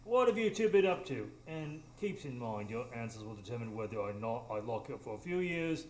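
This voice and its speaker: A man's voice: mid-range voice, very animated, fairly quick, close to an everyday voice. Man acting an officious police inspector, mid-range voice, clipped, demanding, live radio play, roomy, slightly noisy recording. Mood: stern, accusing. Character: stern, officious male police inspector with hidden insecurity. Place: small improv theatre stage.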